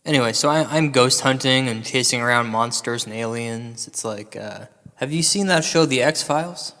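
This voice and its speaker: A man's voice: medium pitch, normal speed, bright, forceful tone, big-room sound. A man playing an earnest, self-doubting paranormal investigator in a comedy radio drama, speaking in a hesitant ramble. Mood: anxious, earnest.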